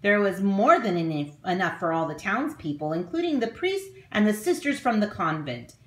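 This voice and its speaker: A woman reads a children's picture book aloud like a classroom teacher. Her pitch moves in wide, animated swings as she narrates expressively. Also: moderate pace, rough recording.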